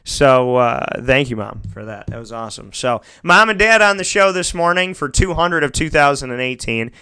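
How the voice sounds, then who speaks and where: A man's voice: mid-range voice, expressive, moderate pace, pushed and bright, clear, resonant tone, no echo, clean studio sound. An American man hosting a casual radio show, talking in a rambling, emotional, self-interrupting way.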